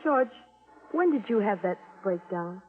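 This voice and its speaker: A woman's voice: medium pitch, expressive, a little slow, narrow, tinny audio, faint music behind, lightly acted. A woman acting the anxious, questioning wife of a fugitive in a 1940s radio drama. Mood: suspicious, uneasy. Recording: thin, noisy old radio recording.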